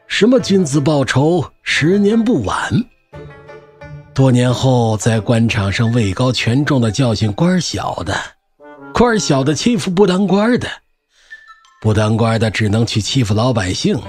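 Old scholar, mid-pitched, swooping delivery, wry, expounding, unbowed, audio drama with faint music. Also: male voice. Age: older. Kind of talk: Chinese audio drama acting.

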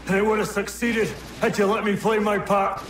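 An actor playing a dying older British officer in a video game, proud and anguished, pleading in halting, formal phrases with a strained voice. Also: male voice, sits high, level tone, roomy sound, background noise, natural voice. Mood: earnest pleading. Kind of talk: dramatic video game cutscene acting.

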